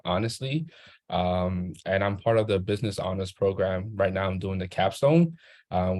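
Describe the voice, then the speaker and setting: Deep-voiced young man, earnest. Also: darkish tone, no room sound. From Haiti. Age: young adult. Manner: earnest, proud, many ums. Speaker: student panelist. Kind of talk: panel introduction. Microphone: video call.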